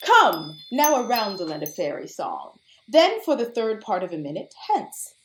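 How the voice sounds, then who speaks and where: Imperious fairy queen for an actress: mid-pitched, richly varied, theatrical classical verse. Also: huge pitch swings, moderate pace, slight background noise. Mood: regal, bidding. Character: regal fairy queen ordering her attendants. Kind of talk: classical Shakespearean verse acting.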